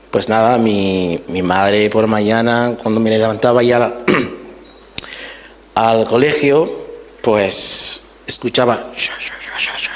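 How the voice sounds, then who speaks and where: A man in his forties from Liberia, talking about his life in a halting, informal way full of restarts and fillers during a church interview. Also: mid-range voice. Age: 40s. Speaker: guest giving testimony. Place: church hall. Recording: long reverb of a church hall.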